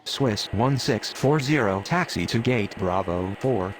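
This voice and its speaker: A man's voice: lowish voice, animated, unhurried, slight background noise. A man playing a plain, businesslike airport radio operator in an aviation game, with formal procedural phrasing. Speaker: air traffic radio voice.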